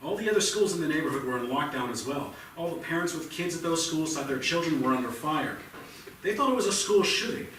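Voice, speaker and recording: A man's voice: on the high side, animated, fast, fairly bright tone. A man in a staged play reading, playing a police detective who speaks in a firm, blunt, procedural way. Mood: grave, insistent. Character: firm, matter-of-fact male police detective. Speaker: actor. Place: theatre stage. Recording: echoey theatre, distant mic, noisy background.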